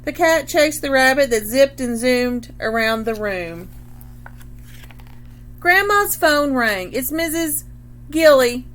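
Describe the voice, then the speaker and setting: Higher-voiced woman reading to children. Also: expressive, slow pace, long pauses, fairly bright tone. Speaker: teacher reading a story aloud. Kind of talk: children's read-aloud with explanations.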